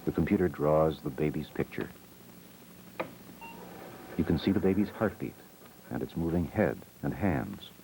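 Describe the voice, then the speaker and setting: A man narrating an educational film in a measured, explanatory manner, reading scripted short, simple sentences. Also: a bass voice, vocal fry, warm tone, slight background noise, poor audio. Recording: old VHS educational film soundtrack. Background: music.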